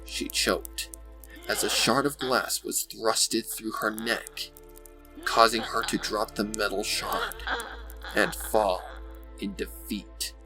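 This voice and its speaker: A man narrating a scripted story in a measured, suspenseful and theatrical way. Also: lowish voice, steady tone, low-quality recording. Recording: loud music bed behind voice. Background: loud music.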